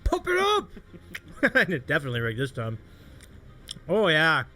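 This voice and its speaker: A man's voice: on the high side, wildly swooping pitch, measured pace, sharp tone, a little hiss or hum. A man hosting a livestream interview show, chatting casually in an appreciative, encouraging way. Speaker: host of a streaming interview show.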